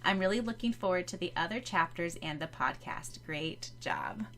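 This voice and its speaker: A woman's voice: lowish voice, expressive, moderate pace, fairly bright tone. A woman from the United States co-hosting a podcast, chatting casually and reading out comments in an effusive, giggly manner. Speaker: podcast co-host.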